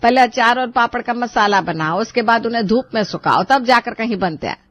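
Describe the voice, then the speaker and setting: A woman's voice: on the high side, animated, a little fast, fairly bright tone. A woman playing an indulgent middle-aged mother in a family drama, reluctant but giving in. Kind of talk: Hindi family drama acting for story video.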